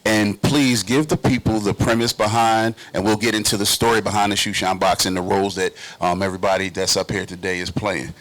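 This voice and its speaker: A man's voice: on the low side, fairly even intonation, brisk, hardly any pauses, fairly bright tone, low-quality recording. A man co-hosting a live talk show, announcing guests with hype-man phrasing. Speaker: co-host.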